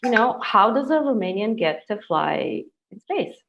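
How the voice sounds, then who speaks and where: A woman's voice: mid-range voice, very animated, moderate pace, vocal fry, muffled recording. A woman hosting an informal podcast interview, speaking in an enthusiastic, effusive manner. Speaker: podcast host.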